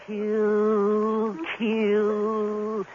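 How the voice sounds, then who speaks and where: A ghostly she-devil chanting. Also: medium pitch. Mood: menacing. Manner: slow, flat, insistent chant. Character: ghostly female spirit chanting murder. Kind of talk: 1940s radio acting. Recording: dull scratchy old radio recording.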